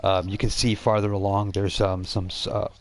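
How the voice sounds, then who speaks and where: A man working as game master, improvising narration for a tabletop role-playing game in a halting, conversational way. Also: fairly low pitch, flat delivery, a little hiss or hum. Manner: halting, conversational, thinking aloud.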